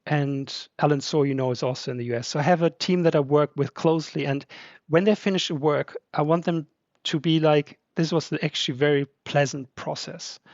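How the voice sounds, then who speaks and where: A man's voice: on the high side, fairly even intonation, fairly quick, no echo, lo-fi sound. A podcast guest who is a man, English not his first language, talking casually and chattily with many fillers. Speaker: podcast guest, translator and publisher. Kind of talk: casual conversation.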